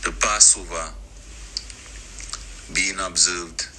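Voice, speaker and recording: A man who says he is a native Hebrew speaker, teaching scripture to listeners in a deliberate, halting delivery of short phrases. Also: sits low, low-quality recording. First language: Hebrew, as the speaker states. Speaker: religious teacher. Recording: steady background noise.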